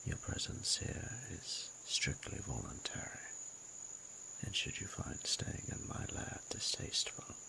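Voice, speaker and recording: A man voicing a flirtatious, possessive yet respectful dragon with a very deep, gravelly voice and formal, archaic, teasing diction in a romantic audio roleplay. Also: sing-song, unhurried, sharp tone, a little hiss or hum, heavily acted. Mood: courtly, teasing. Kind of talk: scripted romantic audio roleplay, intimate monologue.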